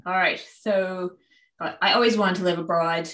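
A woman's voice: fairly low pitch, fairly even intonation, moderate pace, fairly bright tone. A woman from Canada with a Canadian accent, a podcast guest telling stories in a casual, chatty, self-deprecating way, in an echoey room. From Halifax, Canada. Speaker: podcast guest, cycling shop owner. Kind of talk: casual conversational storytelling.

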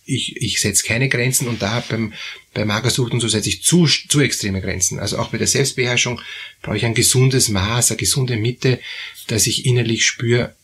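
A man hosting an advice podcast, talking in a halting way with many fillers and repeated words. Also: mid-range voice, fairly even intonation, a bit bright, a little hiss or hum. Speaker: therapist host. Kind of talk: advisory podcast talk.

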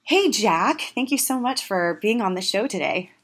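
A woman's voice: medium pitch, lively intonation, moderate pace. A woman hosting a podcast, her voice scratchy from a week of illness, chatting in a rambling, upbeat way with frequent laughs. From Italian heritage. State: scratchy voice from being sick. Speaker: podcast host. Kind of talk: casual podcast intro and interview.

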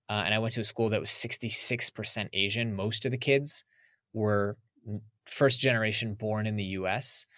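A man's voice: medium pitch, fairly bright tone, no room sound, clean studio sound. A man from the United States, a podcast guest, talking casually and reflectively in an interview.

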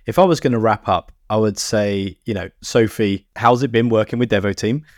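A man's voice: on the low side, normal speed, dry recording, clean studio sound. A man hosting an interview podcast, asking questions in a conversational way.

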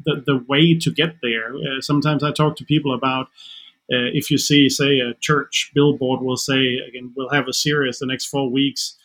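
A man's voice: mid-range voice, level tone, a bit bright, low-quality recording. A man whose first language is Danish, earnestly explaining his faith in a conversation, often restarting his sentences. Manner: earnest, halting with repeated restarts. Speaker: guest counselor.

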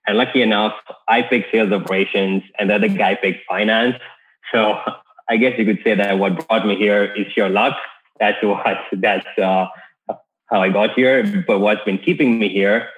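Male guest, from Kenya, low-pitched, easygoing, heard down a tinny call line. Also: steady tone, normal speed. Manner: easygoing, with frequent restarts. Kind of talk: casual podcast interview.

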